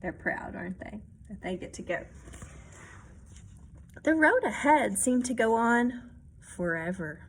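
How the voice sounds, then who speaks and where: A woman reading a children's picture book aloud expressively, pausing to add explanations and check-in questions for young listeners. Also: on the high side, big pitch swings, gravelly, a little hiss or hum. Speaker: read-aloud storyteller.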